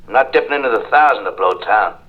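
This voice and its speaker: An actor playing a cocky, stubborn hired killer with a tough, slangy manner in a 1950s radio drama. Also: male voice, lowish voice, lively intonation, quick pace, lightly acted. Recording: dull vintage radio, phone conversation.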